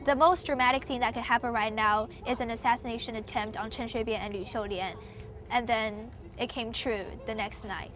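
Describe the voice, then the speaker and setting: Young woman from Taiwan, casual interviewee, slightly high voice, loose and chatty with lots of "like", a light hiss of noise behind her. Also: fairly even intonation, brisk, fairly bright tone. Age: young adult. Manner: chatty, many "like" fillers, hedging.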